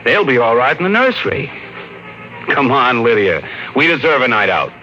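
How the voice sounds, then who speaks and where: Animated 1950s radio dad, played by a man. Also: medium pitch, moderate pace, over loud music, natural voice. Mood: breezy, satisfied. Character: breezy, persuasive 1950s suburban father. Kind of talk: 1950s radio drama acting. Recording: noisy, muffled old radio broadcast.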